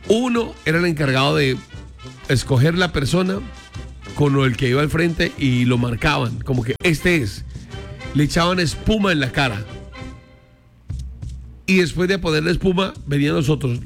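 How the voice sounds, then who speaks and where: A man co-hosting a morning radio show, posing questions to listeners and telling stories. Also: a little high. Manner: storytelling, asks listeners questions. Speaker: radio co-host.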